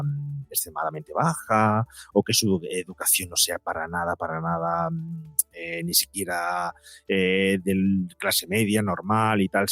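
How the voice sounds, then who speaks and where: Male interview guest, Spain accent, lowish voice, dry recording, explains things emphatically with rhetorical questions. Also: creaky, no room sound. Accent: Spanish from Spain. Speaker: guest psychologist and podcaster.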